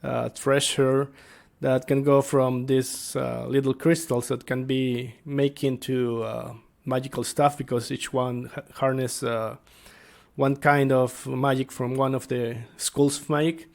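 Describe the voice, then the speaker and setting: Slow, halting man with a creaky voice. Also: medium pitch, little pitch movement. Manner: halting, searching for words. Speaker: tabletop game master and video host. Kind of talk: casual unscripted explainer vlog.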